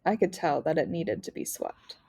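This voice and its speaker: A woman reads a children's story aloud in the manner of a teacher. Her voice is mellow and relaxed, with noticeable creak at the edges. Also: low-pitched, moderate pace. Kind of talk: children's novel read-aloud narration.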